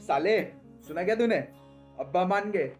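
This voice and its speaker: A woman playing an excitable young friend in a comic skit, slangy and reassuring, with music underneath. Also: mid-range voice, moderate pace, natural voice. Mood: excited. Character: excitable, chatty young friend cheering someone up.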